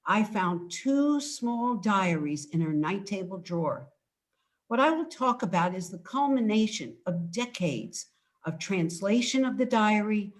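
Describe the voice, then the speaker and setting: Slow-speaking older woman giving a talk. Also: medium pitch. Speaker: guest speaker and author. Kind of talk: prepared, scripted presentation.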